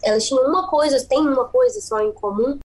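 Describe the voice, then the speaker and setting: A woman's voice: high voice, a little fast, a little mellow. Young northeastern Brazilian woman, earnest. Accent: Paraíba, northeastern Brazilian. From Northeast Brazil (Bahia-born, raised in Paraíba). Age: young adult. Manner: earnest, emphatic, repeats words for stress. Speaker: singer-songwriter guest. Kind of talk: radio podcast interview.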